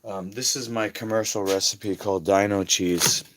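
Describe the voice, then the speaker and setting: A hoarse man talking casually in a slow, rambling health vlog, often trailing off quietly. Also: fairly low pitch, fairly even intonation, noisy background. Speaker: health vlogger.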